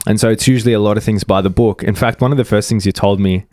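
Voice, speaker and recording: A man hosting a casual podcast interview, friendly and chatty, often pausing on fillers. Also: lowish voice, fairly even intonation, mellow, no room sound, clean studio sound. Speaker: podcast host.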